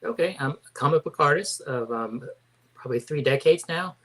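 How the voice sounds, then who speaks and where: A man's voice: a little high, normal speed, fairly bright tone. Hesitant man in his fifties. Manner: hesitant, many fillers and restarts. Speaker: comic book artist guest. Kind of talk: casual interview.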